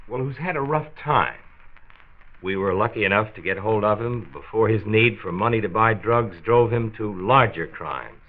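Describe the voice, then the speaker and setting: An actor playing a reassuring, earnest county doctor with a measured manner, in a mid-century radio drama. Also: male voice, fairly low pitch. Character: reassuring, civic-minded male county doctor, a measured persuader. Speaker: health commissioner. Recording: tinny phone-like old radio recording.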